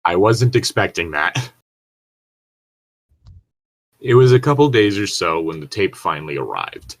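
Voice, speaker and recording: A man with a fairly low voice co-hosts a casual podcast. He reads internet horror stories aloud and chats around them, drifting off track easily and cursing freely. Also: very animated, measured pace. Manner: easily sidetracked, freely profane. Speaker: podcast co-host.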